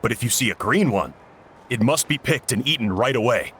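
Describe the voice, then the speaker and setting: A hearty, dramatic uncle cook. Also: male voice, medium pitch, lively intonation, fairly quick, a bit bright, breathy edge. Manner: hearty, chatty, a little dramatic. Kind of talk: game voice acting.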